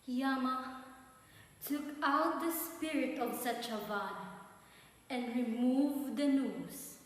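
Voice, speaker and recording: Slow woman narrator in an echoing hall. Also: high-pitched. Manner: measured, broken into short phrases. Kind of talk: scripted stage narration of a myth. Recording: very echoey hall, long reverb, noisy.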